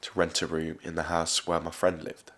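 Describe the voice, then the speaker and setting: A young man played by an actor in money trouble recounts his own story for an educational film. His voice is very deep, and the delivery is a scripted first-person monologue. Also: completely flat delivery, normal speed, fairly bright tone. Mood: reflective. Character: young man in debt facing court.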